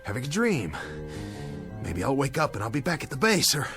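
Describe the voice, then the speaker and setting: Desperate, unraveling young bomber captain over music. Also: male voice, mid-range voice, huge pitch swings, fairly quick. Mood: wry, weary. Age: young adult. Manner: rambling self-questioning, talking aloud to himself. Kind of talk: dramatic radio play monologue.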